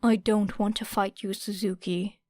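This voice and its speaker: A woman voicing a composed, stubborn teenage boy in an audiobook, measured and blunt. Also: mid-range voice, little pitch movement, darkish tone, no room sound, lightly acted. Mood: weary. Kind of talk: audiobook character voice.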